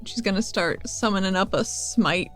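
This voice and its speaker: A woman's voice: medium pitch, moderate pace. A woman casually describing her character's actions as a player in a tabletop roleplaying game. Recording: music playing behind the voice. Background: music.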